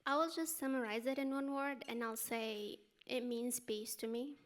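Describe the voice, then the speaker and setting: A woman's voice: fairly high pitch, fairly even intonation, moderate pace. A woman, giving simple, plain-spoken answers as a panel guest. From Syria. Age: 20s. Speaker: panel guest, student speaker. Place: indoor luncheon event before an audience.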